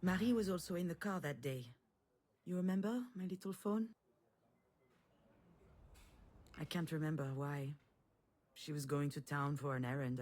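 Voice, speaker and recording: An actress playing a guarded, self-doubting adult woman with a weary, sardonic, measured way of talking in a naturalistic video game drama. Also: deep voice, darkish tone. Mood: shaken, defensive.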